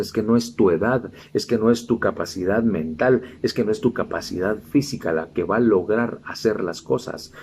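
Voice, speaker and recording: Gravelly, mellow-voiced preaching pastor. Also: male voice, on the low side, a little hiss or hum. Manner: preaching with rising emphatic exhortations. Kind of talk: sermon.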